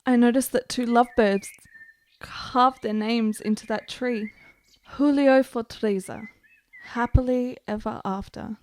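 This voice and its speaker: An actress playing an ailing, bitter and morbid young gentlewoman in a period radio drama, with formal, dramatic delivery. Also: on the high side, fairly even intonation, unhurried, natural voice. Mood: wistful, melancholy.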